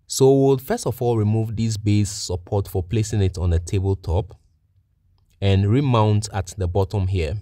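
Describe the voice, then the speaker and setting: Male tutorial narrator, lower register, plain-spoken technician delivering orderly instructions, recorded dry. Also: dark tone, no room sound. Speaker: technician presenting a how-to tutorial.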